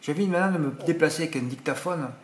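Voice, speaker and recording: A man's voice: a little high, racing. A man with a regional French accent giving horse racing tips in an indignant, rambling monologue, peppered with tag words. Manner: indignant, rambling, full of "hein" and "voilà". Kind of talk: casual monologue to subscribers.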